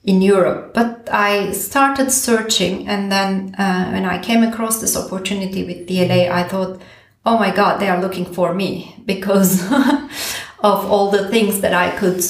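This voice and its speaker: A woman from Turkey, a pro bono director, answering interview questions in a lively way, thinking aloud with many fillers and restarts. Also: mid-range voice, a little slow, reverberant. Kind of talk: conversational podcast interview.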